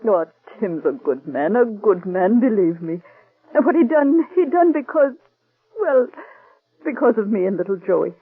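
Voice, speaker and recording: An anxious working-class wife, halting and plain-spoken, with a husky, dry voice. It sounds like a tinny old radio broadcast. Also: female voice, medium pitch, expressive, dry recording. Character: worried working-class wife, Mrs. McNulty. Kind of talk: 1940s radio acting.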